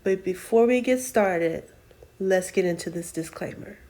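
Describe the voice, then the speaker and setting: Slow, mellow-voiced young woman vlogger. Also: medium pitch. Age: 20s. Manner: slow, soft-spoken, with frequent ums and self-corrections. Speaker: vlog host. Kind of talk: casual personal vlog talk. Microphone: camera mic, sitting and reading from notes.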